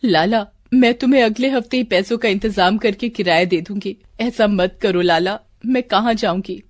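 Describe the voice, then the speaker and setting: A woman's voice: medium pitch, normal speed, dry recording, lightly acted. A woman voicing a poor village mother in a storybook tale, tender, anxious and pleading.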